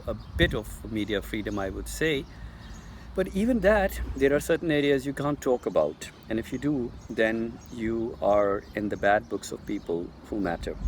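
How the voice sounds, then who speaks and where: Gravelly Pakistani man with an insistent tone. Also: fairly low pitch, slight background noise. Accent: Pakistani English. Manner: earnest, insistent, addresses Americans directly. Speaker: political commentator. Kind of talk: direct-to-camera monologue.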